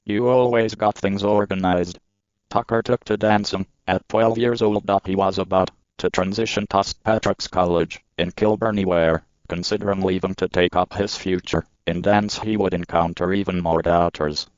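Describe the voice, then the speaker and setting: A man with a low, flat voice narrates a news feature at an even, measured pace. His tone is smooth and clean, recorded dry with a slightly dull, muffled sound. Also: dry recording. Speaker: news reporter.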